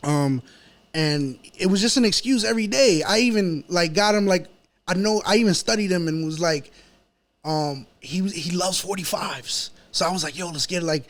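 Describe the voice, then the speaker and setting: A man's voice: high voice, normal speed. A man from New York with a New York accent, a rapper telling stories casually and slangily as a podcast guest. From New York City. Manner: slangy, repetitive, peppered with "you know" and "yo". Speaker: hip-hop artist, podcast guest. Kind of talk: casual storytelling in an interview.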